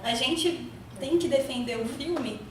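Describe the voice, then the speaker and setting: Brazilian woman from minas, high voice, rambly filmmaker chat, really echoey room, mic far off. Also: measured pace, background noise. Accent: Brazilian Portuguese, Minas Gerais. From Minas Gerais, Brazil. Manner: rambling, laughing, fillers like "né" and "sabe". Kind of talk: panel talk about filmmaking.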